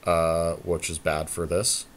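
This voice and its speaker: Low-voiced man thinking aloud. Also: completely flat delivery, takes it slowly, slight background noise. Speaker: podcast co-host commentating on own card game play. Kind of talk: casual strategy talk while playing a card game.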